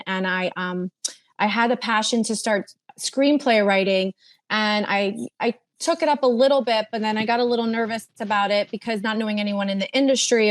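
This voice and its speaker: A woman in her forties from South Florida talks on a panel. She tells her path in a chatty, rambling way, doubling back on words. Her voice sits in a middle register. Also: medium pitch. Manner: chatty, laughs often, repeats words. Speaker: panelist screenwriter. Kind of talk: casual panel talk.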